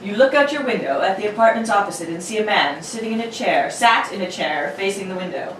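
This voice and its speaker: A woman's voice: mid-range voice, lively intonation, fast talker, a bit bright. Playful woman host in a very echoey room. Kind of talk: casual group chat and read-out introductions. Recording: very echoey noisy room, distant mic.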